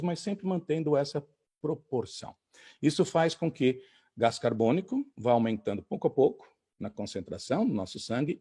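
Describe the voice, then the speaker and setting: A man giving a calm, measured online talk, explaining techniques step by step. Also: on the high side, breathy edge. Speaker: lecturer.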